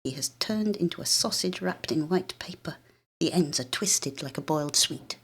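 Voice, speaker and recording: A woman with a British accent reading a literary short story in a measured, expressive, dramatic way. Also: deep voice, breathy edge. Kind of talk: literary short story narration, first person.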